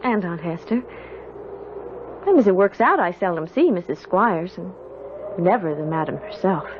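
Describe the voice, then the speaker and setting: A woman's voice: lowish voice, animated, normal speed, a little hiss or hum, lightly acted. An actress playing an earnest young servant girl who tells her tale in a radio drama. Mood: earnest, reassuring. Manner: earnest, storytelling. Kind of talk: 1970s radio drama narration and dialogue. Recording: dull old radio recording. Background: prominent music.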